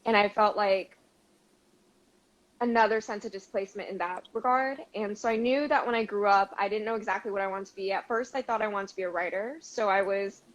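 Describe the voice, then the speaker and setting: A woman's voice: mid-range voice, a little fast, dull audio. A woman from Arizona whose first language is Mandarin, talking as a guest in a casual group chat in a reflective, hesitant way with many fillers. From Arizona, USA.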